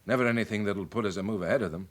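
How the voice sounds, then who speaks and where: An actor playing a worldly, middle-aged British police chief in a radio drama, measured and wry as he explains things. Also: lowish voice, close to an everyday voice. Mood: amused, confiding. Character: worldly, wry senior police chief briefing his sergeant.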